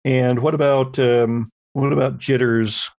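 Gravelly man running a tabletop game. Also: mid-range voice, fairly even intonation, unhurried, darkish tone. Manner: instructive, guiding players with questions. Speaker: game master and podcast host. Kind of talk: explaining tabletop game rules to players. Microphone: close personal microphone on a remote group call.

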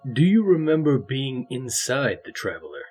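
A man's voice: medium pitch, sing-song, moderate pace, darkish tone, slightly rough, soft background music. A man narrating a scripted dramatic reading in a formal, announcing manner. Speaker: narrator and reader.

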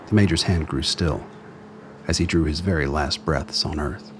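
Deep-voiced male drama narrator. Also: normal speed, noisy background. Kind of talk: scripted dramatic narration of a radio play.

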